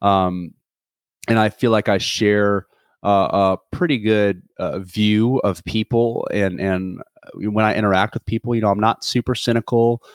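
A man's voice: fairly low pitch, steady tone, a little slow, no room sound, studio quality. A man in his twenties talking through a reflective solo podcast, earnest and rambling, with many restarts and fillers. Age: 20s. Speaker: podcast host.

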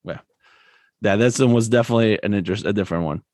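A man's voice: lowish voice, rapid-fire, no echo. Chatty laughing man, dry close sound. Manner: relaxed, chatty, laughs often, many fillers. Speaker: podcast co-host. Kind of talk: casual sports chat.

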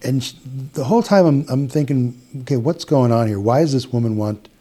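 A man's voice: medium pitch, brisk, warm tone. A middle-aged man with an Upper Midwest American accent, a hypnotist telling a long story in casual interview chat, with restarts and quoted dialogue. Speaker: hypnotist guest.

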